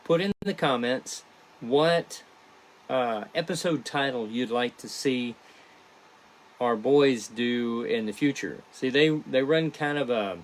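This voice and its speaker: A man hosting a casual behind-the-scenes stream as a podcast creator, chatting to viewers in a halting, rambling way. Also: medium pitch, rough recording. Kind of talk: casual livestream chat to viewers.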